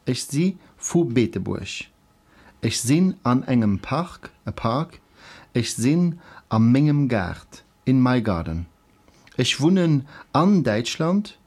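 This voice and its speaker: A man presents a scripted language lesson in a mid-range voice with very lively intonation. He is didactic, setting out examples slowly and deliberately for learners. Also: medium pitch. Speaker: language teacher.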